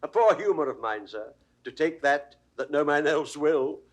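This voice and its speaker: Wry court clown, British, wildly varied intonation, pompously theatrical, mock-learned, period audio drama. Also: male voice, on the high side, animated, moderate pace. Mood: jaunty, droll. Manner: mock-formal, theatrical enumerating delivery. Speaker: actor. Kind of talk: 1960s Shakespeare audio drama performance.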